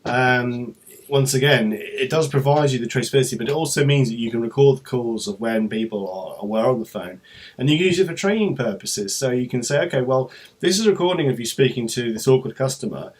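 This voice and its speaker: A man's voice: mid-range voice, lively intonation, fairly quick. A man presenting an informal, unscripted company explainer vlog, talking in a hesitant, rambling way with trailing asides.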